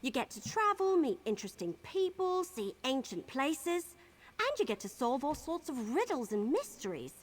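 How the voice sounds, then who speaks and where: Eager, high-voiced young elf adventurer. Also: female voice, big pitch swings, normal speed. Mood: excited. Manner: bubbly, enthusiastic. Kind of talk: video game character acting.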